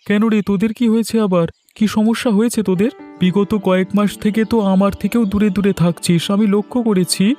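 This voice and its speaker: Man voicing a high, soft-toned village farmer. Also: steady tone, fast talker, soft background music, natural voice. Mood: curious, friendly. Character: mild village farmer and husband, resigned, friendly. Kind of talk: Bengali cartoon story voice acting.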